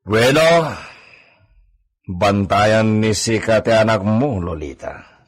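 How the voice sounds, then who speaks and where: A man's voice: fairly low pitch, slow pace, slightly rough. A man with an Ilocano accent playing a proud, doting wealthy landowner and father in a radio drama.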